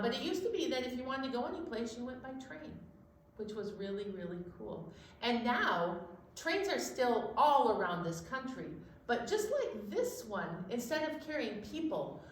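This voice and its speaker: A woman hosting a children's storytime, explaining things in simple, emphatic phrases. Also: on the high side. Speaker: storytime host. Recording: very echoey room, distant mic, faint noise.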